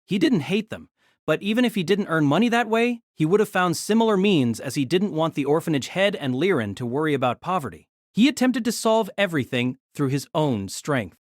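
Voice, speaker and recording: Expressive audio drama narrator. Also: mid-range voice, brisk, dry recording, clean studio sound. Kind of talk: reading a novel as an audio drama.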